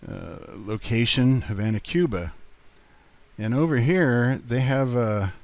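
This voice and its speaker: A man giving a casual screen walkthrough in a halting, unhurried way, as a video presenter. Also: medium pitch, darkish tone.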